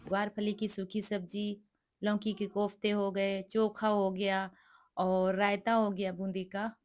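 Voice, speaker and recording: A middle-aged woman with a hoarse, cracked voice from a sore throat, chatting through a home cooking vlog and explaining each step. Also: medium pitch, little pitch movement, measured pace, a little mellow. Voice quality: cracked, rough voice. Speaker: vlogger cooking for guests. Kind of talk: casual cooking vlog narration.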